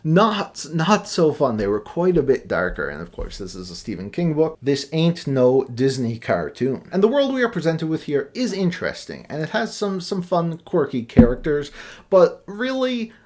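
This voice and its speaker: A man's voice: a little high, very animated, moderate pace, darkish tone. A man giving a casual, conversational book review as a video host, offering opinions with playful asides.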